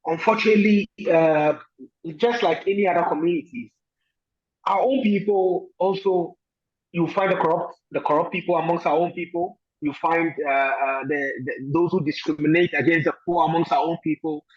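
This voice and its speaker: A man from Ghana, a guest answering interview questions in a thoughtful way, pausing often with fillers. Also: on the high side, dull audio.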